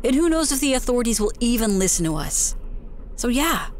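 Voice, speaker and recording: A woman voicing Bonnie, a steady and encouraging young woman in an audio drama. Her voice sits a little high and moves lively, over a light hiss. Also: a little fast, natural voice. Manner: reassuring, encouraging. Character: young woman Bonnie, warm supportive friend.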